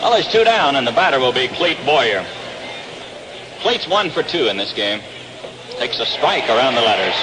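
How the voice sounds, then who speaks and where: A man's voice: medium pitch, background noise. An American man calling baseball play-by-play live on an old 1960s radio broadcast. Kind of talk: live radio sports commentary. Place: open-air ballpark broadcast position.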